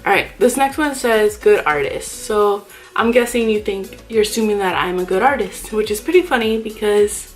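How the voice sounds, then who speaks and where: A woman's voice: medium pitch, expressive, moderate pace, soft background music. A young woman YouTuber chatting casually in a vlog, rambling and thinking aloud about herself. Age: young adult. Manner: rambling and self-reflective.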